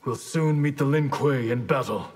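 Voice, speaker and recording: A man acts a stern masked ninja master in a fighting game. He speaks solemnly and formally, with a slightly husky grain and a hall-like reverb around the voice. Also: medium pitch, moderate pace, lightly acted. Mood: solemn, resolute. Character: stern, honor-bound masked ninja clan leader. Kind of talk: dramatic fighting game dialogue.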